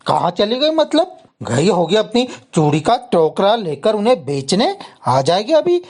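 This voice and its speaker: An actor playing a lazy, quick-tempered husband in a dramatic cartoon story, loud, indignant and whining. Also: mid-range voice, sing-song. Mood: indignant, defensive.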